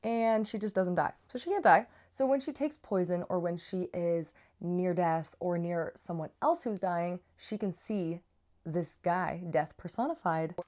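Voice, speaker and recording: A woman's voice: on the low side, a little slow, darkish tone. A woman giving a casual, chatty book review with slangy asides and playful, dramatic emphasis. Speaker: book reviewer, vlog host.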